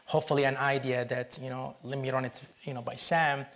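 A man from Syria, a film producer answering interview questions in a halting, earnest way with many restarts. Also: medium pitch, flat delivery, fairly bright tone.

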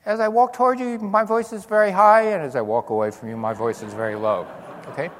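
An older man giving a physics lecture to an audience, telling stories with dry humor and lively demonstrations. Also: high voice, fast, a little hiss or hum. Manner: animated storytelling with dry humor. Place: large lecture hall. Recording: long hall reverb.

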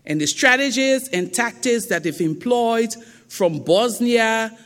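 A woman with a thick Liberian accent giving a public lecture in a slow, deliberate and emphatic way. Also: a little high, expressive. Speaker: guest speaker. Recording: long reverb of a lecture hall.

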